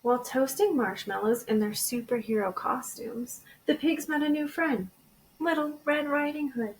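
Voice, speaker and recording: A woman teacher reading a children's storybook aloud in an expressive way. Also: high voice, moderate pace, poor audio. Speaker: elementary school teacher.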